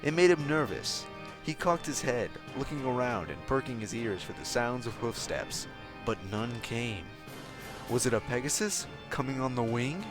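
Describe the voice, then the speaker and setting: A man reads a story aloud as an expressive narrator. His voice sits at an average pitch for a man, and music plays behind it. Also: moderate pace. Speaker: fan fiction reader. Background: background music.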